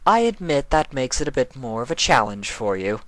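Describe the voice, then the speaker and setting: A man's voice: medium pitch, sing-song, moderate pace, fairly bright tone, no room sound, pitched above the performer's own voice. A man voicing a proud, haughty alicorn queen villainess with an imperious, theatrical delivery in a fan fiction reading.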